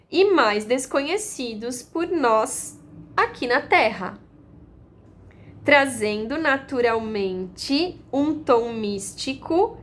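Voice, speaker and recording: A woman giving a calm, explanatory lesson, repeating her points and using analogies. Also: high voice. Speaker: astrology teacher.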